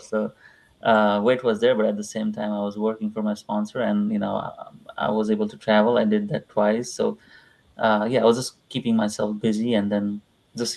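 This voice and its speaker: A man's voice: fairly low pitch, vocal fry, darkish tone, rough recording. A young man from Nepal, a guest in a live interview, talking casually and hesitantly with many fillers. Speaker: interview guest, visa client.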